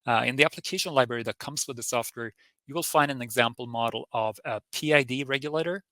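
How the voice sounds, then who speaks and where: A man's voice: mid-range voice, fast talker, pushed and bright, no echo. Swedish-accented man presenting a technical webinar. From Sweden. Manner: friendly, explanatory, lightly informal.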